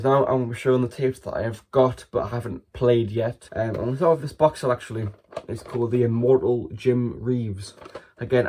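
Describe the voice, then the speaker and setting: A man's voice: mid-range voice, darkish tone. British man, casual show-and-tell. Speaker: video host showing a collection.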